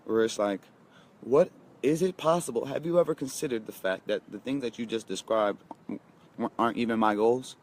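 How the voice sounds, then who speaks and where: A man's voice: mid-range voice, moderate pace. Emphatic young man giving a pep talk. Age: 20s. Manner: emphatic, repeats lines and talks straight to viewers. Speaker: motivational vlogger. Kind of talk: casual motivational monologue.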